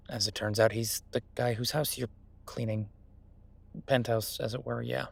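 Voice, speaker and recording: A man's voice: medium pitch, no room sound, lightly acted. A man playing a friendly, self-made CEO and old college friend in a roleplay audio drama, talking casually with stammers and self-deprecation. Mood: sheepish, wry. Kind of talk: intimate one-sided roleplay audio drama, conversational.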